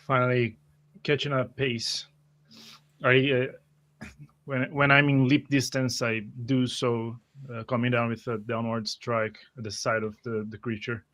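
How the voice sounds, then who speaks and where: A man playing a tabletop roleplay game, describing his actions in a halting, stammering way. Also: mid-range voice, flat delivery, a bit bright.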